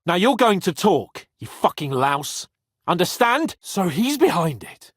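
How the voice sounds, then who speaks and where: A snobby young medieval lord for a game, raspy edge, bossy and quick to anger, really dry studio sound. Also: male voice, high voice, sing-song, dry recording, full character voice. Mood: threatening. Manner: imperious, sardonic, swings between sneering and shouting. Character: haughty, hot-tempered young medieval nobleman knight. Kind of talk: dramatic video game voice acting.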